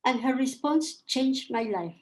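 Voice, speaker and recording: Older woman, high-pitched, from Argentina, Polish native, measured emotional reading. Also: expressive. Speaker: panel speaker. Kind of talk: reading a prepared personal account.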